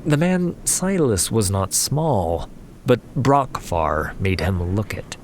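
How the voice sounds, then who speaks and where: A man's voice: on the low side, sing-song, slow pace, a little hiss or hum. A man narrating a fantasy story in a dramatic, scripted audiobook style. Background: music.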